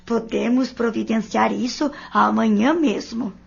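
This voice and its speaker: A woman's voice: a little high, unhurried, creaky, breathy edge, heavily acted. A Brazilian woman playing a caring, anxious mother in an audio drama, polite and tender in her concern. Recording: dull lo-fi audio.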